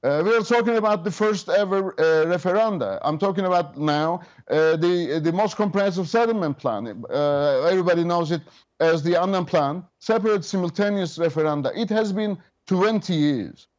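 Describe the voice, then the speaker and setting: A man in his fifties, a government representative speaking over a remote link, measured and official with frequent hesitations. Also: high voice, dull audio. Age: 50s. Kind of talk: remote interview guest.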